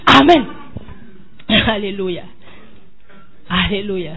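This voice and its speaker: A woman's voice: medium pitch, animated, extremely slow, muffled recording. A woman preaching an impassioned sermon, tossing questions to the congregation and rising to cries.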